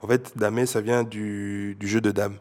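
A man's voice: fairly low pitch, flat delivery, moderate pace, a little mellow. A man from Belgium casually explaining slang words, hesitant and full of fillers, switching between French and Dutch. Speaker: interviewee.

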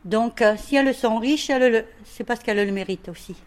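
Emphatic woman with a fairly high voice. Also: fairly quick. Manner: emphatic, argumentative, plain-spoken. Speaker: street interviewee. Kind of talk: impromptu opinion.

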